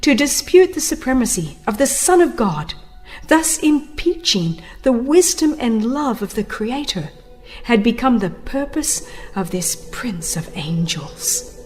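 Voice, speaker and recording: A woman narrating a religious book as a dramatized reading, solemn and reverent, with measured, dramatic emphasis. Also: on the high side, very animated, darkish tone, faint music behind. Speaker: audiobook narrator.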